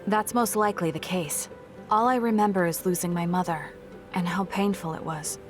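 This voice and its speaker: Game heroine, played by a woman: young soldier, cool, blunt, restrained, lowish register, music underneath. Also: normal speed, close to an everyday voice. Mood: quietly wistful. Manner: cool, matter-of-fact, restrained. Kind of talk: video game voice acting, story cutscene dialogue. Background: background music.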